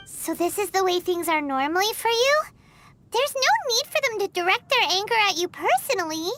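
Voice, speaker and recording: A woman's voice: very high-pitched, big pitch swings, a bit bright, faint music behind, exaggerated voice. A woman voicing a tiny, eager fairy companion in a video game who talks about herself in the third person. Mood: curious. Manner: quick, bouncy, insistent.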